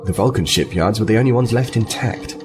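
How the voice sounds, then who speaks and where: A man's voice: fairly low pitch, brisk, music in the background, close to an everyday voice. A man playing an earnest, diplomatic lieutenant in a sci-fi audio drama, polite and composed as he explains. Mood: grave, earnest. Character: earnest, diplomatic male starfleet lieutenant, liaison officer.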